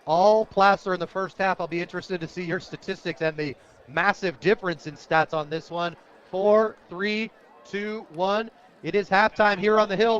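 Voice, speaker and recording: A high-voiced American man works as a radio play-by-play announcer for a football game. He talks conversationally in short, punchy phrases. The broadcast sound is dull and lo-fi. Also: fairly bright tone.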